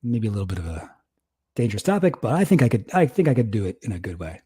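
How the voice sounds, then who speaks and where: A man's voice: on the low side, very animated, fast talker, warm tone, husky. A young man casually commenting on a livestream, rambling, self-deprecating and laughing often. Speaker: streamer reacting to a debate.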